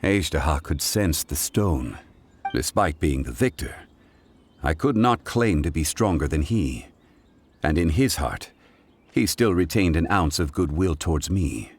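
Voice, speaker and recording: A man playing a calm ancient god in human guise in a video game, speaking in a measured, formal way. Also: very deep voice, a little hiss or hum, full character voice. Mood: solemn, reflective. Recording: music playing behind the voice.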